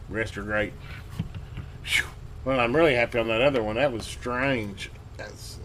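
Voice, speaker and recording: A man with a medium-pitched voice talks casually through hands-on hobby work, thinking aloud as he goes. His delivery is folksy and colloquial, with halting stops and trailing pauses. It is an amateur home recording with clear noise behind the voice. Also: a bit bright. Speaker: hobbyist host restoring and testing old radios. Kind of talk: unscripted workbench narration, casual talk.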